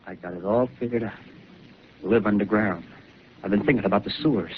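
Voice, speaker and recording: An actor playing a rough, scheming soldier who talks in rambling, feverish working-class slang, in a 1930s live radio drama over a tinny broadcast with hiss. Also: male voice, fairly low pitch, fairly quick, natural voice.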